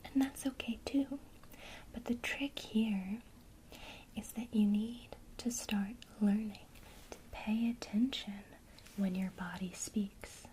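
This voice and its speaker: A woman whispering an ASMR witchcraft lesson, gently encouraging a listener she addresses as her student. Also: medium pitch, fairly even intonation, a little hiss or hum, low-quality recording. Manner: speaks to the listener as a student, pausing for imagined replies.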